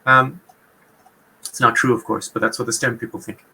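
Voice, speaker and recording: A man with an Irish Munster accent, talking through a topic on a casual podcast, quick and wry. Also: medium pitch, level tone, pushed and bright, slightly rough, rough recording. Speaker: podcast host. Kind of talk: casual explanatory chat.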